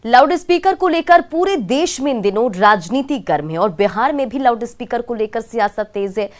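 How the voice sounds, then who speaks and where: A woman's voice: a little high, animated, moderate pace. A woman delivering scripted news narration as a voice-over.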